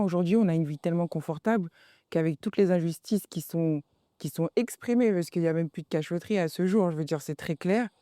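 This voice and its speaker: Male co-interviewer, relaxed warm timbre, explanatory and conversational, recorded dry. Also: a little high, clear, resonant tone, no room sound.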